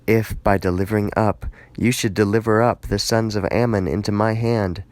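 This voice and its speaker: A man's voice: on the low side, fairly even intonation, moderate pace, no room sound, natural voice. A man voicing a stern, solemn Israelite leader in a scripture reading, with emphatic, declamatory phrasing. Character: stern male Israelite leader arguing his case, solemn.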